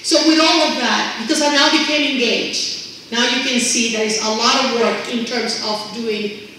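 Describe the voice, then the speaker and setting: A woman's voice: on the high side, normal speed, sharp tone. A middle-aged woman from Venezuela with a Venezuelan Spanish accent, a native Spanish speaker, telling her own story in a personal talk as a community engagement director. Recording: echoey room, distant mic, faint noise.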